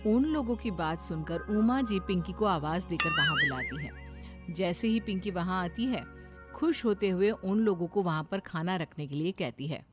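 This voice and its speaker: A woman's voice: on the low side, lively intonation, moderate pace. A woman narrating a scripted story as its storyteller. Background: faint music.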